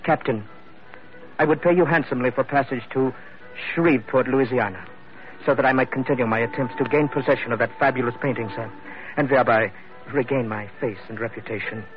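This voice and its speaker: A man's voice: medium pitch, fairly quick, natural voice. A man playing an aggrieved, pompous, wheedling merchant with flowery formal speech in a vintage radio drama. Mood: wheedling, earnest. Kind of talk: 1940s radio drama acting. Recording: muffled vintage radio recording, faint music.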